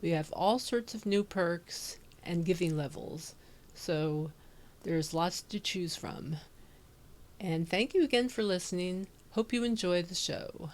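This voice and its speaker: A female podcast host reads an introduction to listeners. Her voice is a little lower than most women's. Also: slow pace, background noise.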